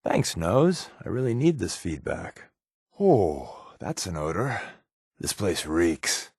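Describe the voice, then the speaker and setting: A man voicing a wry, secretive spy companion in a video game, with casual, sardonic, deadpan quips. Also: sits low, slow pace, breathy edge, no room sound, studio quality, heavily acted. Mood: sardonic, uneasy. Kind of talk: game companion dialogue lines.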